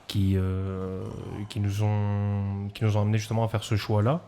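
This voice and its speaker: Guest: man, lowish voice, halting, filler-heavy answers. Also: monotone, warm tone, clean tone. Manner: hesitant, many fillers. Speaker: guest, combat sports coach. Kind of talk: radio interview.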